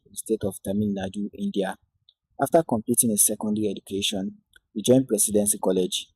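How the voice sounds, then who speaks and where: A man narrating an introductory Arabic lesson in a measured, devout way, opening and closing with Islamic invocations. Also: fairly low pitch, creaky, mellow, breathy edge, no room sound, low-quality recording. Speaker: Arabic teacher.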